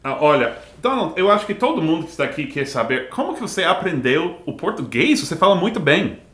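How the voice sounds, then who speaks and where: A man's voice: fairly high pitch, big pitch swings, a little fast, fairly bright tone. A man with an American accent, a native English speaker, hosting a comedy talk show with mock-enthusiastic surprise.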